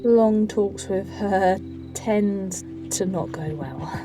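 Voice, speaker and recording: A woman with a British accent playing a lonely, introspective woman in an audio drama, speaking intimately with deliberate pauses. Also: mid-range voice, over music, lightly acted. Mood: subdued. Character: lonely, introspective woman telling her dark love story. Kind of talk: dramatic first-person audio drama narration and dialogue.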